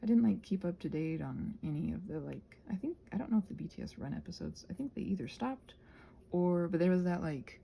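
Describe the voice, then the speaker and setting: A soft-spoken woman in a casual, rambling chat while she crafts, meandering and interrupting herself as she murmurs along. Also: on the low side, expressive, brisk, vocal fry, highs cut off, a little hiss or hum. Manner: meandering, digressive, self-interrupting. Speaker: craft vlogger and audio creator.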